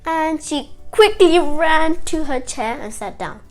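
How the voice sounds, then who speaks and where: A young girl tells a nursery story in a high, animated voice. She goes slowly, in simple childlike phrases that halt now and then, with faint music behind her. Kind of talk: animated retelling of a nursery fairy tale.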